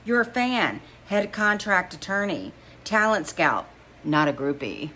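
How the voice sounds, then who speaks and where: Female reader as supportive, pushy gal pal in romance fiction, exclamatory and teasing, raised pitch. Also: big pitch swings, a little slow, sharp tone, a little hiss or hum, full character voice. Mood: incredulous, urging. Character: excitable, supportive female best friend in a romance novel.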